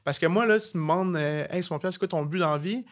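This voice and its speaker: A young man with a Quebec French accent speaks in a slightly high voice at a rapid clip. He is informal and emphatic, tossing English slang into casual podcast conversation. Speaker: podcast guest, real estate agency owner.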